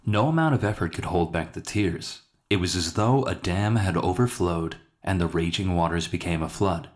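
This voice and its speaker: A man reading a dramatic short story as narrator, in a somber and measured way. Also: low-pitched. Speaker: author narrating his own short story.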